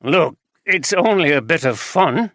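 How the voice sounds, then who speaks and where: A reader voicing Foster, a nervous middle-aged husband caught gambling, in an audiobook. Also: male voice, on the high side, very animated, measured pace, a bit bright, dry recording, clean studio sound, pitched above the performer's own voice, full character voice. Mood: pleading. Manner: pleading, evasive, nervous. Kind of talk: audiobook character reading.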